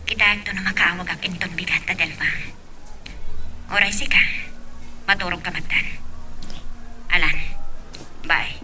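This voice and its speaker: A Filipino woman playing a concerned mother in a radio drama, in a whispery, breathy voice. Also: lowish voice, fairly even intonation, normal speed, long pauses, over music, natural voice. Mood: tender. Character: concerned, hardworking mother advising her daughter.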